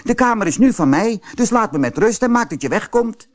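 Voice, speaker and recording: A man's voice: high-pitched, expressive, fairly quick, pitched above the performer's own voice. A man voicing a naughty, headstrong wooden puppet boy in a children's storybook. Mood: defiant. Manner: bratty, exclamatory.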